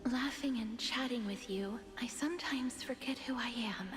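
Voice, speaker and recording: A woman voicing a reserved, melancholy lady in a video game, soft-spoken, breathy and hesitant. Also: fairly high pitch, normal speed, lightly acted. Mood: wistful, guarded. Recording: long reverb, music behind.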